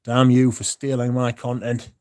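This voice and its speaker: A man's voice: medium pitch, completely flat delivery, normal speed, mellow, no echo, studio quality. Tired, sweary man. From Britain. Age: 30s. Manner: rambling, sweary, frequent restarts. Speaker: streamer. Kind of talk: casual livestream chat. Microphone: phone.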